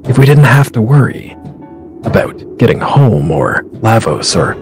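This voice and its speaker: A man's voice: medium pitch, sing-song, a little slow, soft background music. A man playing a wistful, pensive infiltrator on a spy mission in an audio drama.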